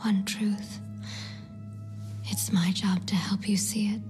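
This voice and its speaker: An actress voicing a mysterious female guide who speaks only in a breathy, cryptic whisper, in a game cutscene. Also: medium pitch, little pitch movement, a little mellow, faint music behind, close to an everyday voice. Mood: hushed. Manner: slow, measured, cryptic pronouncements. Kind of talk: video game cutscene voice acting.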